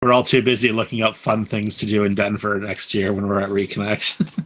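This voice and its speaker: Bright-voiced man chatting loosely, low pitch. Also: level tone, a little fast. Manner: rambling, self-correcting, frequent "like". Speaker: podcast panelist and fan dub voice actor. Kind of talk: casual group chat.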